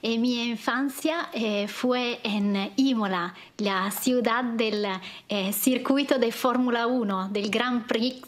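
Italian woman, slow and hesitant. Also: a little high. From northern Italy. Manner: hesitant, many pauses and fillers, searching for words. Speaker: guest singer.

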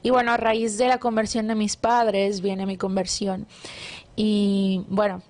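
A woman's voice: mid-range voice, little pitch movement, unhurried. Guatemalan woman sharing faith testimony. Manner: warm devout storytelling with many fillers and restarts. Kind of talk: casual testimony interview.

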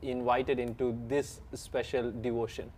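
A man's voice: mid-range voice, little pitch movement, measured pace, a little mellow. A man with an Indian accent hosting a casual panel chat, joking, laughing often and restarting his sentences. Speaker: host.

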